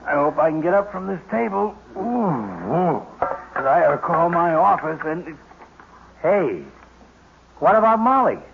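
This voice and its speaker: A sardonic, wry doctor friend. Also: male voice, on the high side, very animated, a little slow, gravelly, heavily acted. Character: sarcastic, gluttonous male doctor friend. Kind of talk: 1950s radio comedy acting. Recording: hissy, dull old radio broadcast recording.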